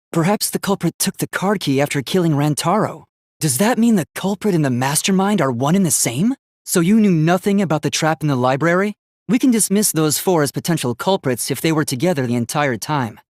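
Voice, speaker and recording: A man's voice: a little high, dry recording, studio quality. A man voicing an earnest, polite young male robot in a video game, speaking in formal, precise, literal-minded phrases.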